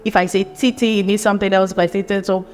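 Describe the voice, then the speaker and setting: Lively woman hosting a chat. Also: mid-range voice, rapid-fire, a little hiss or hum. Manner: lively, laughs often, asks long rambling questions. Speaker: host. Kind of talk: casual interview chat.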